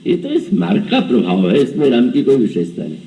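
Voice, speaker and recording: A man's voice: medium pitch, huge pitch swings, brisk, dark tone, distant mic, poor audio. A man in his seventies giving a devotional scripture discourse, telling the story and acting out characters' dialogue. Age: 70s. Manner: storytelling, voices characters' dialogue and chants verses. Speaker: preacher.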